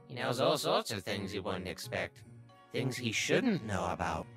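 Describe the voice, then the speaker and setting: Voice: mid-range voice, very animated, measured pace, music in the background. A performer voicing a sly, obsessively curious little talking flower in a comic dub, brooding and easily exasperated. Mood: puzzled, scheming. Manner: brooding, scheming, bursting into exasperated outbursts. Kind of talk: comic dub character narration and dialogue.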